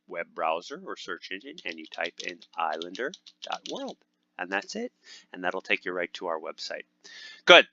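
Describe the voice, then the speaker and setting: A man's voice: on the low side, pushed and bright, dry recording, highs cut off. A man hosting a casual language lesson. He talks upbeat over gameplay, with many "uh" fillers and restarts. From United States. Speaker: language teacher and channel host.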